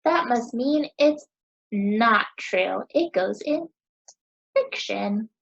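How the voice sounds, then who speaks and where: An American woman in her thirties teaching young children, asking questions in a sing-song, encouraging way with deliberate pauses. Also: fairly high pitch, expressive, gravelly, husky, dull audio. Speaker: teacher hosting a children's story time.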